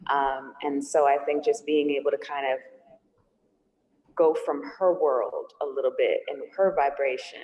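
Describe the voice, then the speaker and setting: A woman's voice: rumbling low, darkish tone. A woman screenwriter talking casually and reflectively in a question-and-answer discussion of her writing. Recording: long hollow room reverb.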